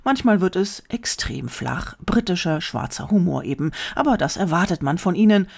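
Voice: medium pitch, animated, moderate pace, gravelly. A narrator reading a scripted news report.

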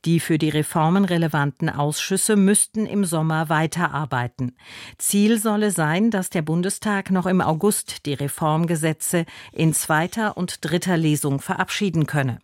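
A woman's voice: low voice, normal speed, dry recording. A woman reading a scripted radio news and weather bulletin in a broadcast studio. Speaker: radio newsreader.

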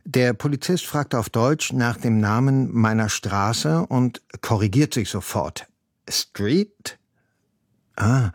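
A man reading a first-person novel excerpt in a slow, deliberate storytelling manner. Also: medium pitch, dry recording, studio quality. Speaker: reader.

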